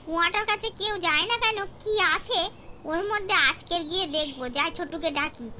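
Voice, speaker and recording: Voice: very high voice, brisk. A performer voicing a curious, stubborn young village boy in a cartoon, asking insistent questions over a noisy recording. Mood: restless, determined. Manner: inquisitive, insistent. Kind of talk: cartoon horror story dialogue.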